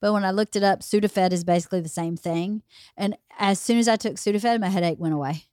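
A woman hosts a podcast and chats casually, rambling from one point to the next. Her voice is slightly hoarse, as if she is losing it, and it sits at an ordinary middle pitch. She is recorded close on a podcast mic with a dry, dead sound. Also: fast, a little mellow, no echo. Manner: chatty, rambling storyteller. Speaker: podcast host. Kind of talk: casual chat.

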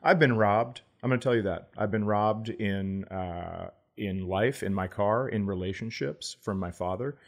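A man's voice: lowish voice, measured pace, gravelly, few pauses. An American man hosting a podcast in casual comedic chat, profane and playfully argumentative. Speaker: podcast host.

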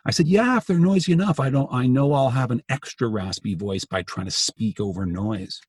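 A man with a gravelly, raspy voice, a journalist chatting as a guest and telling stories with frequent restarts. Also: medium pitch, normal speed, darkish tone, no room sound, clean studio sound. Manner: chatty storyteller, restarts sentences. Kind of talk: casual podcast conversation.

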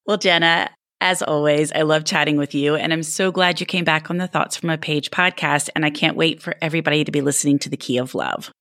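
A woman's voice: low-pitched, steady tone, brisk, few pauses, fairly bright tone, no echo, studio quality. A woman hosting a podcast, speaking in a casual, conversational style. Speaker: podcast host. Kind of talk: casual podcast chat and sign-off.

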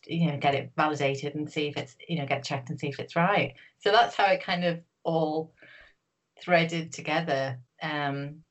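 A woman from Newcastle, an author guest talking casually in an interview, rambling with many fillers and restarts. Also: sits low. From Newcastle, England.

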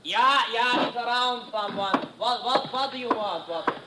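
An actor playing a bewildered, fussy German warehouse worker in a 1950s radio drama, stammering and repeating himself. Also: male voice, very high-pitched, unhurried. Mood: grumbling, wary. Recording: faintly noisy vintage radio recording.

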